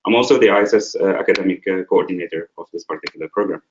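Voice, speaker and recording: A man, an associate professor, giving a webinar presentation in a halting way, with frequent filler sounds and rhetorical questions. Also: low voice, a little hiss or hum. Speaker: associate professor and program coordinator. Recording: roomy, dull lo-fi webinar audio.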